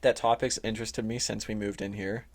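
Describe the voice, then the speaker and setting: A man's voice: lowish voice, little pitch movement, fairly quick, slight background noise. A young man co-hosting a podcast in casual chat, with a laid-back, slangy way of talking and some swearing. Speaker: podcast co-host.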